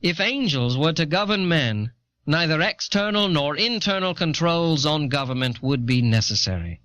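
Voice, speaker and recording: A man playing an earnest eighteenth-century American statesman, reading historical writings with formal, deliberate oratory, recorded on an old cassette. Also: on the high side, animated, fairly bright tone, no echo. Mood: earnest, reasoned. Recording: dull, dry old audio cassette.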